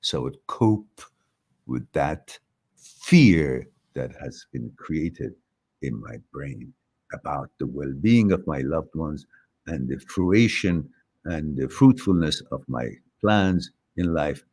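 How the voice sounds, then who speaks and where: Very deep-voiced older Iranian man. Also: lively intonation, slow, a little mellow, husky. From Iran. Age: 60s. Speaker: host of a live talk, answering viewer questions. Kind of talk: informal philosophical talk.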